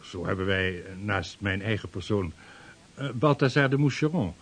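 A man's voice: fairly low pitch, animated, moderate pace, breathy edge. An actor playing an earnest, modest seasoned voyager making his case in a period radio drama. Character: earnest seasoned voyager and trader, adult man.

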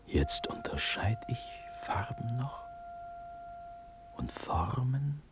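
An actor playing a dreamy, ardent young prince in a German classical radio drama, speaking in hushed, halting, breathy verse with sudden swells. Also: male voice, medium pitch, big pitch swings, whispered, over music. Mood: rapt, fading.

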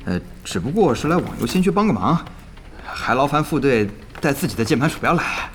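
An actor playing an eager, deferential gamer guild organizer in an audio drama, chatty and excitable. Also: male voice, medium pitch, fairly quick, a little hiss or hum. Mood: eager, flattering.

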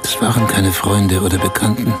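Guy acting dreamy odd storyteller man, lowish voice, measured radio play monologue w music behind. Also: fairly even intonation, close to an everyday voice. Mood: solemn wonder. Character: Augusto, strange man recounting a dreamlike night of lavish pampering. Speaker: radio drama actor.

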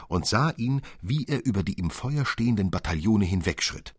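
A man narrating a literary fairy tale for radio as an expressive storyteller. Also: deep voice, breathy edge, dry recording, clean studio sound.

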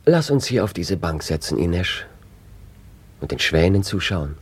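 An actor playing a gentle, pleading fiancé in a radio play, speaking softly and coaxingly. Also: male voice, deep voice, sing-song, unhurried, breathy edge, heavily acted. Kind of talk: radio drama acting.

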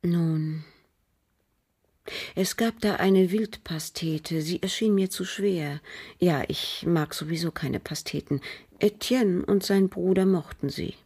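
An actress playing a bitter, cold aristocratic French widow in a radio crime drama, speaking formally and guardedly with a husky, breathy voice. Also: female voice, fairly low pitch, warm tone, full character voice. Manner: measured, guarded, formal, with pauses. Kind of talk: German radio drama acting.

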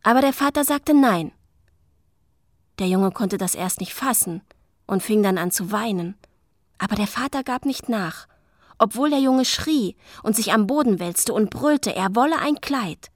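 An actress playing a queen's gentle female companion in a radio drama, speaking in a measured, deliberate storytelling way. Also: mid-range voice, animated, no echo, studio quality. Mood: intent storytelling.

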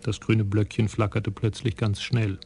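A man narrating a radio crime drama in a measured, even storytelling voice. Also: fairly low pitch, a little hiss or hum.

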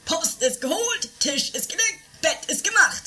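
A woman's voice: very high voice, expressive, normal speed, bright, forceful tone, faint music behind, heavily acted. A woman playing a chatty, scheming member of an anarchic castle household in a comedy radio play, theatrical in delivery. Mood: chirpy, scheming.

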